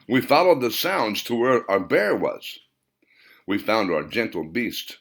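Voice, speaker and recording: A man's voice: fairly low pitch, exaggerated voice. A man voicing an earnest male character who tells nostalgic, dramatic boyhood memories in an audiobook. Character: earnest male storyteller recalling boyhood summers with Grandpa.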